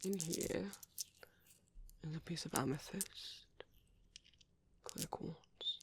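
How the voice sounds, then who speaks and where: A British man playing a gentle, caring vampire priest in an ASMR roleplay, speaking softly, hesitantly and politely in a breathy, whispery voice up close. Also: a little high, drawn-out words, a little hiss or hum, heavily acted. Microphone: close microphone.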